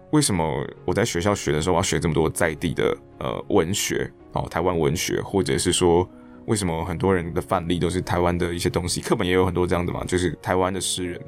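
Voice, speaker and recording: A man's voice: low voice, fast, faint music behind. A man from Taiwan with a Taiwanese Mandarin accent, a podcast guest telling stories in a casual, reflective way. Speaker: interview guest, stage director and actor.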